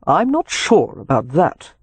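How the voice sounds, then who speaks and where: A narrator voicing a rakish, affectionate gentleman in a novel, with a dry, droll and urbane manner. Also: medium pitch, huge pitch swings, measured pace, husky, dry recording, heavily acted. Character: wry, rakish gentleman, teasing and affectionate older brother.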